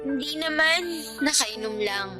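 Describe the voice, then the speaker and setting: A woman's voice: high voice, lively intonation, measured pace, over music. A woman playing an exasperated, hurt young girlfriend in a radio love drama, sarcastic and reproachful. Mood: sulky, hurt.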